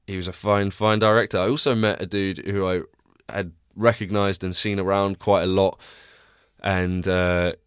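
A man's voice: low-pitched, flat delivery, moderate pace, smooth, dry recording, clean studio sound. A young man hosting a podcast, chatting casually and rambling with many restarts, tired and congested. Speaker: podcast host. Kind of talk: casual solo podcast chat. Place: small cramped home recording space.

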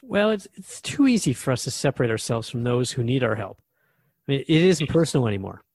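A man's voice: medium pitch, sing-song, brisk, a little mellow, dry recording, studio quality. A man playing a thoughtful, curious radio host in a radio drama, questioning a spirit guide in a reflective, conversational way.

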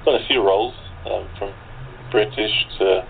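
A man's voice: on the low side, fairly even intonation, moderate pace, vocal fry, a little hiss or hum. A man who works as a radio play narrator and actor. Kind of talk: scripted narration and casual interview chat. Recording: muffled narrow phone-like audio.

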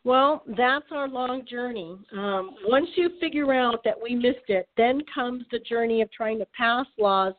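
Woman guest expert, radio interview, earnest and measured, phone-thin sound. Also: on the high side. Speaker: guest expert on domestic violence. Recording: phone-like narrow band.

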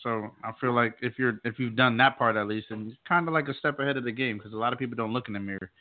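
A man on a talk show panel, giving his opinions in casual, conversational speech. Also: quick pace. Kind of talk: casual discussion. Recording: muffled low-bandwidth call audio.

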